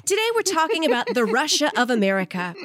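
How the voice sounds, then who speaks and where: High, lively woman reading comic jokes. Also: no room sound, studio quality. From Louisiana. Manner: deadpan joke delivery, drawn-out pauses. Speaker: podcast host. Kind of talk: scripted comedy intro and jokes.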